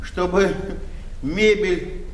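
Radio play: old countryman, high voice, weary and gentle, slightly noisy distant recording. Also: very slow. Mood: wistful, earnest. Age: older. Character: melancholy old village widower, weary, stubborn, gentle. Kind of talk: 1990 Soviet radio play acting. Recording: echoey, distant radio play, faint noise.

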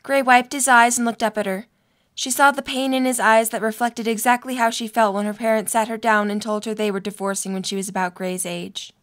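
A woman's voice: a little high, steady tone, fairly quick. A young adult woman reading scripted story narration for an audio drama.

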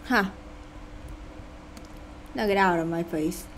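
A woman's voice: fairly low pitch, slight background noise. A woman with a Vietnamese accent who speaks English as a second language, casually commenting on her gameplay with sarcastic asides and sighs. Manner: sighing, sarcastic asides, halting and unhurried. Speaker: gaming channel host.

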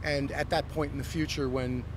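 American CEO pitching on a noisy street. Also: male voice, flat delivery, moderate pace. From United States. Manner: fluent corporate pitch with frequent um and uh. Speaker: company CEO. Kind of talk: street interview. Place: busy downtown street outdoors. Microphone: handheld mic held by interviewer. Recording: outdoor street with traffic noise. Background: city traffic.

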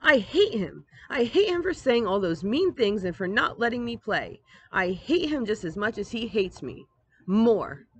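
A woman's voice: mid-range voice, big pitch swings, a little slow, muffled recording, pitched above the performer's own voice. A woman voicing a hot-headed young girl in an emphatic storybook read-aloud. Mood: angry, resentful. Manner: emphatic, exclaiming. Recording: outdoor ambient noise, device microphone.

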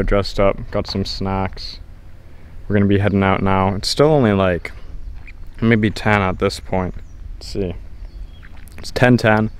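A man's voice: on the low side, darkish tone, a little hiss or hum. A young man chatting casually for a vlog, talking into a handheld camera outdoors. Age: young adult. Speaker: vlogger. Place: outdoors in a driveway by a house. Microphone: handheld camera at arm's length.

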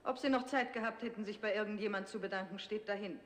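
An actress in her thirties playing a proud, sharp-tongued security lieutenant, crisp and formal, in 1960s TV drama style. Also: medium pitch, fairly even intonation, fast talker, slight background noise, close to an everyday voice. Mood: cool.

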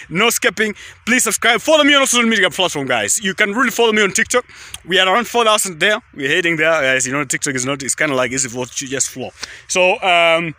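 Bright-voiced Kenyan man vlogging outdoors. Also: a little high. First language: Kalenjin. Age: young adult. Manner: rambling, repetitive, keeps saying guys. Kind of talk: casual selfie vlog. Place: outdoors in a tea field. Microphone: phone at arm's length.

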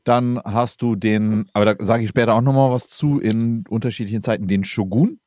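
A man's voice: lowish voice, fairly even intonation, a little fast, a little mellow, dry recording, clean studio sound. A German man co-hosting a casual history podcast, explaining chattily with fillers and restarts. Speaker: podcast co-host presenting the episode.

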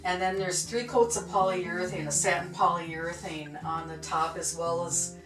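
A woman's voice: lowish voice, fairly even intonation, normal speed, reverberant, music in the background. A woman in her fifties giving a casual, chatty tour of her home sewing room, with many ums and you-knows. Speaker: vlog co-host showing her sewing room.

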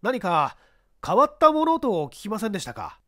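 A man's voice: sits high, wildly swooping pitch, moderate pace, bright, forceful tone, exaggerated voice. A businesslike police detective voiced by a male reader in a dramatized mystery. He puts short, probing questions and sounds inquisitive and matter-of-fact. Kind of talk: dramatized mystery story reading.

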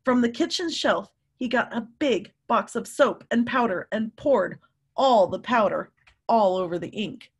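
A woman in her fifties reading a story aloud to young children, with animated, drawn-out emphasis and questions to her listeners. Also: on the high side, slightly rough. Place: room at home.

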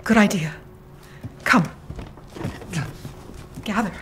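An actress playing a gentle, earnest, motherly village woman in a video game. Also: mid-range voice, drawn-out words. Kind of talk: dramatic video game acting. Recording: noise behind the voice.

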